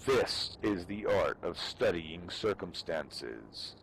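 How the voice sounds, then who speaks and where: Male reader, lowish voice, slow and grave audiobook-style delivery, faint rumbling noise behind a game voice chat. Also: very animated, vocal fry, fairly bright tone, rough recording. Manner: solemn, deliberate delivery with pauses. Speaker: amateur reader performing for fellow gamers. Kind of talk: dramatic audiobook-style reading of a military treatise.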